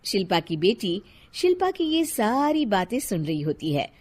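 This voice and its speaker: A woman narrating a cartoon story in a soft, even storytelling delivery. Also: medium pitch, moderate pace, breathy edge.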